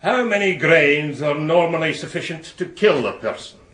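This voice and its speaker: An echoey, slightly high male voice, as a prosecuting counsel in a radio drama. He questions formally and methodically. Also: lively intonation, normal speed, a bit bright. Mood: stern, measured. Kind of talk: period courtroom radio drama acting. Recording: echoey courtroom-like room sound.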